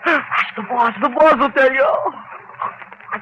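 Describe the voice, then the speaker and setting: A man's voice: very high voice, a little slow. An actor playing a frightened, simple-minded henchman on the run, pleading and stammering in broken grammar, in old-time radio drama style. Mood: terrified. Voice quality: whimpering, stammering, ungrammatical pleading. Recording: hissy, muffled vintage radio recording.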